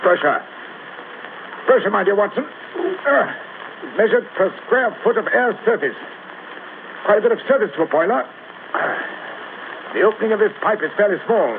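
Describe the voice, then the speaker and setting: Incisive British detective, fairly high voice, cool and ironic, old radio drama. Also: male voice, lively intonation, moderate pace, pauses often. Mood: strained, urgent. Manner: incisive, commanding, clipped. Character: brisk, coolly ironic male master detective. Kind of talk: 1940s radio acting. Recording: noisy, tinny 1940s radio recording.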